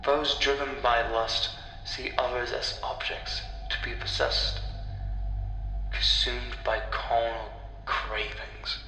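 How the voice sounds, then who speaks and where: A man narrating a scripted horror story as the storyteller, in a deliberate, dramatic way with pauses. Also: mid-range voice, fairly even intonation, bright, forceful tone, a little hiss or hum. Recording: echoey, distant-sounding voice over music. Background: music.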